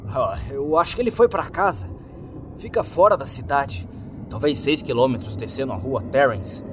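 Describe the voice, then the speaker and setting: A man's voice: medium pitch, expressive, moderate pace, a little hiss or hum, close to an everyday voice. A man playing a foul-mouthed, jittery young male friend in a horror story, agitated and slangy. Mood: shocked, uneasy. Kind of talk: creepypasta character dialogue.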